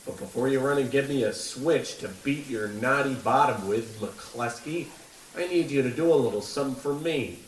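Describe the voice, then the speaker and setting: A man's voice: mid-range voice, normal speed, close to an everyday voice. A man playing a bullying baseball coach with a stern, scolding manner in a comedy radio play. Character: gruff, bullying small-town baseball coach. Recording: distant, echoey room with faint noise.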